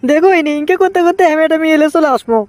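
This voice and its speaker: A man's voice: very high-pitched, racing, no room sound. A man voicing an impatient, whiny young son desperate to marry, in comic cartoon dialogue with a Bogura accent from northern Bangladesh. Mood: sulky. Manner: whiny, pleading, exaggerated.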